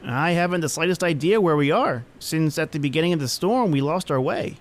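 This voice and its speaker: A man's voice: on the high side, lively intonation, fairly quick, lightly acted. A man playing a nervous, deferential manservant. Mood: uneasy, formal. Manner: anxious, obedient. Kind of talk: old-time radio horror acting.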